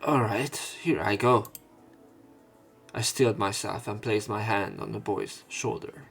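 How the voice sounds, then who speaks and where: A man's voice: lowish voice, measured pace, vocal fry, fairly bright tone. Man reading a visual novel over music. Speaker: let's play narrator reading game text aloud. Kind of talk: dramatic read-aloud narration of visual novel.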